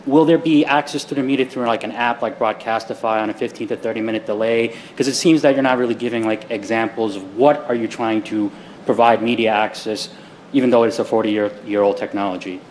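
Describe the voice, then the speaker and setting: A man working as a reporter, asking a challenging question at a press conference in a halting way. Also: fairly low pitch, steady tone, darkish tone, slight background noise, rough recording.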